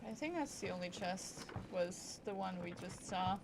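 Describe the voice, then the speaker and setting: A woman's voice: mid-range voice, lively intonation, moderate pace, background noise. A woman livestreamer giving casual gameplay commentary with playful, offhand short reactions. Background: game music.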